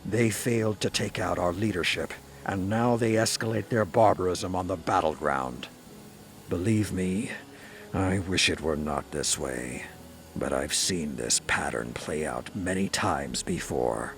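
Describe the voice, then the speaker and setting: An actor playing a gruff, proud male warlord who talks bluntly about war and honor. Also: sits low, measured pace. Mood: grim. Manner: rousing, forceful war talk. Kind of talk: video game cutscene dialogue. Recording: game score behind.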